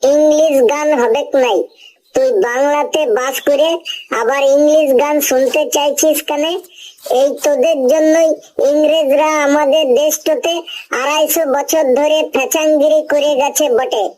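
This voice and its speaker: High-pitched, touchy rustic schoolboy. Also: flat delivery, measured pace, darkish tone, rough recording. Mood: touchy, argumentative. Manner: contrary, rustic dialect phrasing. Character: Lebu, touchy rustic schoolboy who sings badly. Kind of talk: exaggerated cartoon comedy voice.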